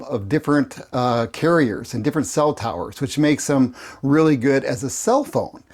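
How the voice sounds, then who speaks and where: Man, medium pitch, plain-spoken, folksy, casual explainer delivery, repeats key points. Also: a little mellow. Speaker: host of a prepping channel, ham radio operator. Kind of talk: casual explainer talk to viewers.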